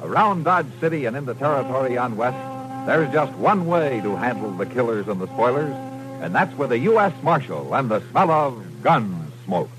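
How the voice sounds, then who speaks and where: A man's voice: mid-range voice, sing-song, normal speed, poor audio. An American man announcing a 1950s radio western in a dramatic, emphatic style. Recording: old radio recording, loud music behind.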